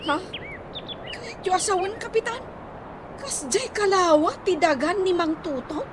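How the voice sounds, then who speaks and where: A woman's voice: very high-pitched, expressive, measured pace, noisy background. A woman with an Ilocano accent acting an anxious, hesitant village gossip in a comedy radio drama. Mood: anxious, pleading.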